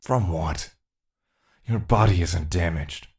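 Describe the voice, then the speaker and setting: An actor playing a blunt, formal non-human attendant in an audio drama, dry and scornful of humans. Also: male voice, sits low, slow, slightly rough, full character voice. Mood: blunt chiding.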